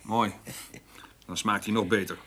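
An actor playing a troubled middle-aged farmer in a radio play, husky, with hesitant, plainspoken speech that often restarts. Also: male voice, on the low side, fairly even intonation, measured pace, pushed and bright, exaggerated voice. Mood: preoccupied. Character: troubled farmer, rough-spoken, brooding over his mother.

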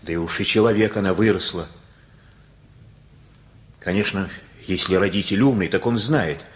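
A man's voice: deep voice, moderate pace, lightly acted. An older male actor in a radio play, playing an anxious, simple-hearted working-class father who talks in a folksy, hesitant, colloquial way. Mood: troubled, confiding.

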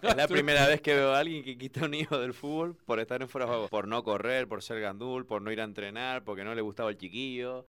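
A man's voice: medium pitch, fairly even intonation, fast talker, few pauses, fairly bright tone, smooth, no echo. A man, co-hosting and teasing with disbelief. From Tenerife.

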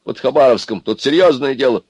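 A man's voice: mid-range voice, fairly quick, darkish tone, breathy edge, no room sound, lo-fi sound, voice pushed up, close to an everyday voice. A man voicing a mocking, scolding bully in a comic story, exclaiming and taunting. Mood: indignant. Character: jeering, scolding crowd member, mocking bully.

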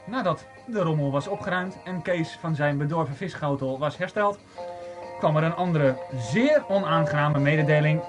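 A man narrates a homemade radio play in a scripted storytelling style. His voice sits fairly high, and music plays clearly along with him on the amateur recording. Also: moderate pace.

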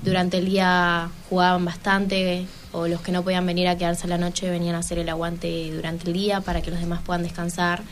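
Teenage female student guest, Buenos Aires Argentine accent, slightly low, little pitch movement, informal interview answers. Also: completely flat delivery, a little fast, hardly any pauses. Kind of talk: casual radio interview answers.

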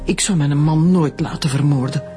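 An actress with a Flemish accent playing an anxious, middle-aged schoolteacher suspected of murder, protesting in a radio drama. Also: female voice, deep voice, moderate pace, over music, close to an everyday voice. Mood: pleading, rattled. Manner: agitated, protesting.

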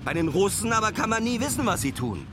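A restless traveller doing a musing audio diary for radio drama, lots of outside noise. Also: male voice, sits high, very animated, fast talker. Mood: restless, excited. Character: restless young male traveller keeping a space-watching audio diary. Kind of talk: radio play diary monologue. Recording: clearly noisy outdoor ambience.

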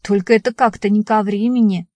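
A female narrator does a lively woman friend in an audiobook. She talks in a brisk, matter-of-fact way, and the recording is dry. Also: mid-range voice, no echo, lightly acted.

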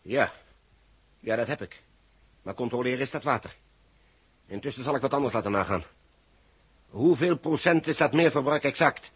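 A man's voice: mid-range voice, moderate pace, pauses often, slightly rough, natural voice. A man acting a commanding, impatient chief space engineer with a Dutch accent, urgent and worried, in a 1960s radio drama. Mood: brooding, tense.

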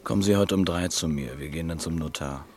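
An actor playing a wary impostor who poses as a writer, speaking curtly and dryly in a radio play. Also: male voice, a bass voice, fast talker, faint music behind. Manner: curt and dry, few words. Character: wary American impostor posing as a writer, curt.

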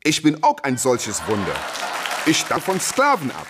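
An actor in a German radio play voicing a proud, fiery young boxer who preaches emphatically with rhetorical repetition. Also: male voice, fairly high pitch, wildly swooping pitch, a little slow, crowd noise, background noise. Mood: proud, measured.

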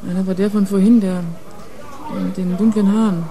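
A woman's voice: medium pitch, fairly even intonation, normal speed, dark tone, close to an everyday voice. An actress playing a streetwise nineteen-year-old club girl turned informant in a radio crime drama, slangy and blunt. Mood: frightened, urgent.

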